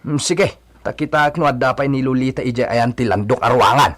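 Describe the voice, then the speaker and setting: A man playing a concerned, plain-spoken village manservant in a radio drama, husky and conversational. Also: mid-range voice, fairly quick, fairly bright tone, a little hiss or hum, lightly acted.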